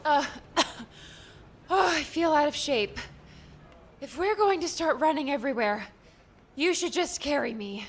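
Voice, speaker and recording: A feisty young woman adventurer in an audiobook, acted by a woman. Her voice is fairly high and swings freely, blunt and sarcastic, with faint noise behind it. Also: animated, measured pace, pauses often. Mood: winded. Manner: blunt, informal. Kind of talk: audiobook character dialogue.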